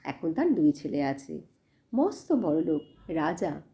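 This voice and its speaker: Woman voicing a humble village cowherd, animated intonation. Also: sits low, slow, full character voice. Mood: informative, simple. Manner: simple, deferential, eager to help. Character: humble, loyal village cowherd man, simple and obliging.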